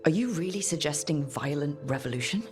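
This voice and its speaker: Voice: mid-range voice, animated, a little fast, faint music behind, natural voice. An actor playing an earnest, plainspoken young adventurer hero in a fantasy video game. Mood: questioning. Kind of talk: video game dialogue acting.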